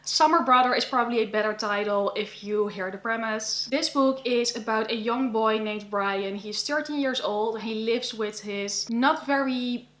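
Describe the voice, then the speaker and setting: A woman's voice: a little high, normal speed, few pauses, a bit bright. Young Dutch woman recommending books, echoey room. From Netherlands. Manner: chatty, earnest, thinks aloud with "yeah" and "you know". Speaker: book vlogger. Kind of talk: casual book recommendations to camera.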